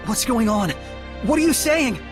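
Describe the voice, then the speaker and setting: Man acting an earnest young hero, high-pitched. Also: unhurried, over music, close to an everyday voice. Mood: distressed. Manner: earnest, impassioned. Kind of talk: dramatic anime game voice acting. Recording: loud orchestral game score behind.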